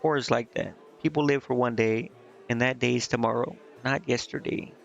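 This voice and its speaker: A man's voice: mid-range voice, steady tone, normal speed, creaky. A man casually commenting on a video game he is streaming, thinking aloud and reading bits of on-screen text. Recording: slightly dull lo-fi mic, game music behind.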